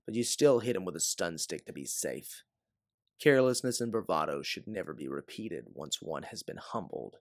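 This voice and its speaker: A man with a deep, gravelly voice reads a sci-fi adventure story. His delivery is theatrical and measured, with lively swings in pitch. The recording is close and dry. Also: no room sound. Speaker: audiobook narrator. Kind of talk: dramatic second-person sci-fi story narration.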